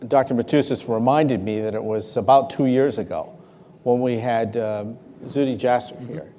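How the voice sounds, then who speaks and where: A man's voice: medium pitch, fairly even intonation, moderate pace, dark tone, slight background noise. Formal male host in a reverberant hall. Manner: formal, introduces and steers questions. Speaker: talk show host. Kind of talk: hosting a live interview before an audience. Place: large hall with live audience.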